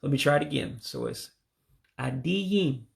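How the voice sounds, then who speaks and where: A man with a deeply gravelly, creaky voice talks through a home-made language lesson at an unhurried pace. He sounds out each phrase carefully and tentatively, stopping to correct himself and try again. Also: animated. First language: English. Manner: slow, careful, tentative pronunciation with self-corrections. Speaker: language learner teaching greetings. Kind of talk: self-taught language lesson.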